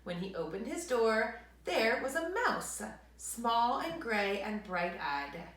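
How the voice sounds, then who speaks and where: Animated woman reading a children's story, echoey room. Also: fairly high pitch. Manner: dramatic emphasis, drawn-out words, hushed asides. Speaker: read-aloud storyteller for children. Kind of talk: expressive children's story reading with asides to listeners.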